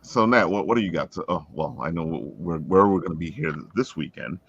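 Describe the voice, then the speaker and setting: A man's voice: low-pitched, lively intonation, brisk, gravelly, fairly bright tone, slightly rough, no room sound. A man hosting a live stream with upbeat, jokey banter in a casual style, on dull audio. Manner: upbeat, jokey, uses catchphrases.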